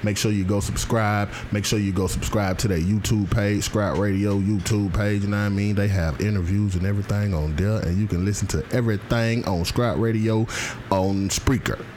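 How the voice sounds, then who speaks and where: A young podcast co-host teases his partner in a low, laid-back voice. His slangy banter rolls along, with faint ambient noise under it. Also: steady tone, normal speed, darkish tone.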